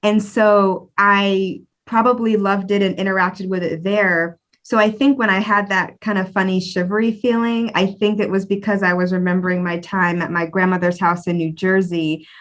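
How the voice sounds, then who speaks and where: A woman's voice: mid-range voice, steady tone, normal speed, few pauses. A woman speaking casually and conversationally as the host of a museum program. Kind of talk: casual conversational hosting and storytelling.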